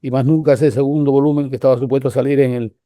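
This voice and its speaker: Older Cuban man, mellow halting storyteller. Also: mid-range voice, steady tone, dry recording, clean studio sound. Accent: Cuban Spanish. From Cuba. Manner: rambling, halting with many restarts. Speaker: guest researcher. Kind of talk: casual conversational storytelling.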